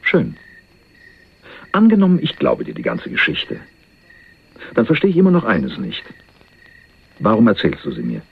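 An actor playing a laid-back, sceptical drifter and jailbird in a crime radio play, gravelly and husky, speaking with teasing, sardonic understatement. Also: male voice, lowish voice, huge pitch swings, moderate pace, long pauses, full character voice. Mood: laconic. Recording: muffled phone-like narrowband radio recording.

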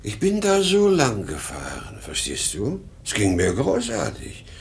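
A man's voice: lowish voice, huge pitch swings, a little slow, vocal fry, exaggerated voice. An actor playing an exhausted, rambling salesman past sixty, proud and erratic, in a vintage German radio drama. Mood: dreamy, troubled. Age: 60s. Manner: weary, rambling, given to boastful outbursts. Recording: noisy vintage 1950 radio recording.

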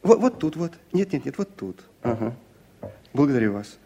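An actor playing a fussy, polite process server, halting and officious, in Soviet radio drama style. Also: male voice, medium pitch, very animated, vocal fry. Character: fussy, polite male official serving a summons. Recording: noisy old radio recording.

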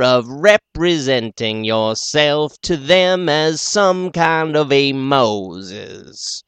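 A nervous little lawyer, as a male reader puts him on, delivering a charge in a shrill, sing-song drone. He stretches his words out slowly and grandly. The recording is close and dry. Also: a bit bright, clean tone, no echo.

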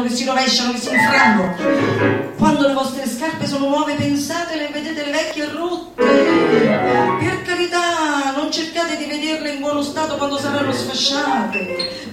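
A woman stage performer giving a theatrical recitation of a satirical manifesto, declamatory and exhorting with mock-solemn emphasis. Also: sits high. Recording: very echoey room, distant mic, faint noise.

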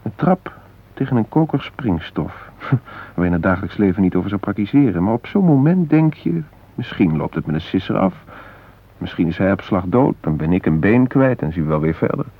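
An actor playing a shaken former hostage, retelling a brush with death in an intense, introspective radio drama monologue. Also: male voice, deep voice, very animated, brisk, breathy edge, heavily acted. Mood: intense, reflective. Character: shaken male former train hostage recounting facing death. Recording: muffled, narrow-band old radio recording.

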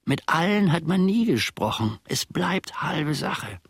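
An actor playing a grumbling, self-important manservant who muses pedantically to himself in a German radio play. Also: male voice, fairly high pitch, sing-song, a little slow, a bit bright, breathy edge, no echo, clean studio sound, exaggerated voice. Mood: pondering, dismissive. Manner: ruminating, pedantic, self-satisfied.

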